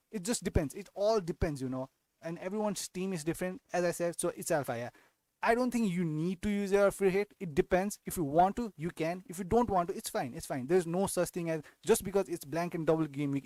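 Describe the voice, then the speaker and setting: Man from Nepal, young adult, raised pitch, dry sound, halting, casual stream host. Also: darkish tone, dry recording. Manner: hesitant, many restarts and tag questions. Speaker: fantasy football channel host.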